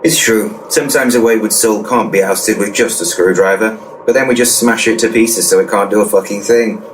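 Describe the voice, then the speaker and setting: A low male voice sits back from the microphone amid clear background noise. It is an actor as a crude, self-important ghost-hunting handyman who explains everything pompously and matter-of-factly, as in a comedy radio play. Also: steady tone, brisk, recorded from a distance, natural voice, low-quality recording. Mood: blunt, smug. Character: cocky, crude, know-it-all male ghost-busting handyman. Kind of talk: full-cast comedy audio drama.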